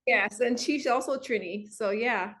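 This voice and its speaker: A woman's voice: on the high side, a little slow, fairly bright tone. Bubbly woman with a Quebec French accent. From Montreal, Canada. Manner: bubbly, laughs often. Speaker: wellness coach, guest. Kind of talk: casual interview answers.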